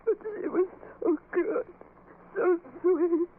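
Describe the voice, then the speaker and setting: A woman's voice: very high voice, fairly even intonation, slow pace. An actress playing a fragile, self-blaming young woman with a tearful, pleading manner, in old-time radio horror style. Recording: scratchy, tinny old radio recording.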